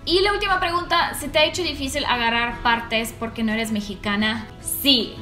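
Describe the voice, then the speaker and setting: A young woman with a Russian accent chats to camera in a lively, casual way, her high voice bouncing off the walls of a small echoey room. Faint music sits underneath her speech. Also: moderate pace. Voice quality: animated, gestures widely with her hands. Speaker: vlogger. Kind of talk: casual Q&A vlog.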